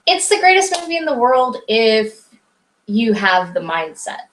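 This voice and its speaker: Slightly high-voiced chatty woman. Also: very animated, unhurried, a bit bright, poor audio. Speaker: podcast co-host. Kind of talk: casual movie review chat.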